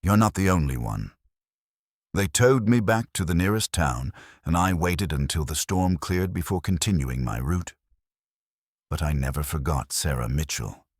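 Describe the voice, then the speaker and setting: Male horror narrator, extremely low voice with lively intonation, dramatic and suspenseful scripted storytelling. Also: normal speed, dry recording, clean studio sound. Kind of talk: scripted first-person narration of trucker horror tales.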